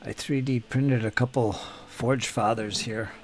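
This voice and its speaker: A man's voice: mid-range voice, steady tone, measured pace. Chatty man painting miniatures on stream. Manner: chatty, talks to viewers by name, hums and mutters while working. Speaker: hobby streamer. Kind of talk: casual live-stream chat while painting miniatures.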